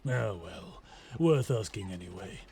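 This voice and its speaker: A man's voice: on the low side, lively intonation, unhurried, darkish tone. A man playing a roguish, mercenary skyship captain with wry, courteous, old-fashioned speech in an immersive audio roleplay. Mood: dryly welcoming, haggling. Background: ambient sound effects.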